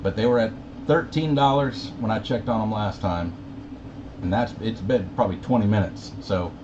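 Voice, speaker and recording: Male CB operator, low-pitched, casual banter, heard through a dull radio channel with clear noise. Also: normal speed. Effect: CB radio transmission. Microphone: CB radio microphone, heard through receiver. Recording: muffled CB radio reception with static.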